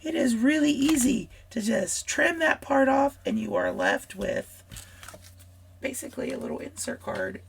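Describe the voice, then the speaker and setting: A woman hosting a scrapbooking vlog, hoarse from a cold and losing her voice, chatty and rambling as she talks straight to her viewers. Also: high voice, wildly swooping pitch, a little slow, a little hiss or hum. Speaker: scrapbooking channel host. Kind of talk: casual craft supply walkthrough.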